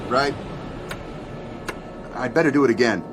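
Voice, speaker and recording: A man's voice: mid-range voice, unhurried, natural voice. Navigator male actor, mid-range voice, earnest with rising alarm, radio play in a noisy aircraft. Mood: attentive, puzzled. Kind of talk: radio drama acting. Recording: engine noise behind the voice.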